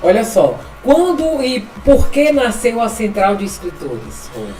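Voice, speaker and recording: A woman hosts a podcast, asking questions and adding short affirmations. Her pitch moves up and down in a lively way. The room sounds echoey and she is a little back from the mic. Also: unhurried, recorded from a distance, faint music behind. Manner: brief affirming interjections. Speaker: podcast host. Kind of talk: casual podcast interview.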